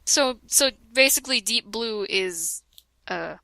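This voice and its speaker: A woman's voice: a little high, lively intonation, takes it slowly, gravelly, pushed and bright, dry recording. Laughing young woman reading aloud. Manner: reads aloud with frequent laughing asides and mock-dramatic voices. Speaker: reader of a fan fiction. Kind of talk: comedic dramatic reading with chat.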